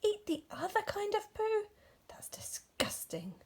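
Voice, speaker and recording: Woman as grumpy male rabbit, proud, indignant, blustering, swooping pitch, children's storybook. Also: huge pitch swings, unhurried, a little mellow, exaggerated voice. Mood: disgusted.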